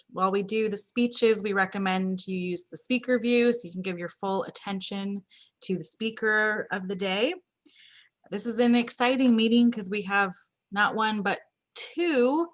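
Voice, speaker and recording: A woman hosting an online club meeting, upbeat and organized as she runs through roles and reminders. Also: mid-range voice. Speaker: toastmaster of the meeting. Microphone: video call.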